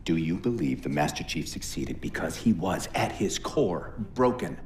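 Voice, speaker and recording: Very deep-voiced, probing interrogator. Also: male voice, big pitch swings. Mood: probing, cool. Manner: accusing, deliberate, formal. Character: cold, probing male military interrogator. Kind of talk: dramatic game cinematic acting. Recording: roomy echo with faint noise.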